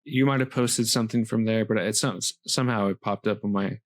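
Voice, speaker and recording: Man, podcast host, lowish pitch, relaxed, encouraging, self-deprecating, dry sound. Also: brisk, dry recording. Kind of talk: casual interview chat.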